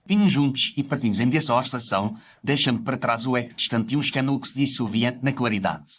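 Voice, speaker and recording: An actor playing a reflective gentlemanly doctor who narrates his memoirs in formal, literary first-person storytelling. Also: medium pitch, quick pace, lightly acted.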